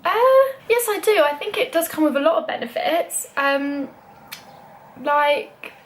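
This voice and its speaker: A young woman with a British accent chats to her camera in a relaxed, open way, dropping "like" and "um" all through. Her voice sits a touch higher than most women's. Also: a little slow, a little hiss or hum. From Britain. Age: young adult. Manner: chatty, lots of "like" fillers. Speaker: vlogger. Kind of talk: casual chat.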